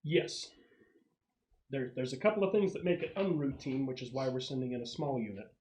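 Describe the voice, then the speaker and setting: A man's voice: mid-range voice, animated, fairly quick, a little mellow. A man acting as game master, explaining the scenario in a conversational way and piecing details together as he goes. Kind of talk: casual tabletop game narration. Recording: noisy room recording with clicks.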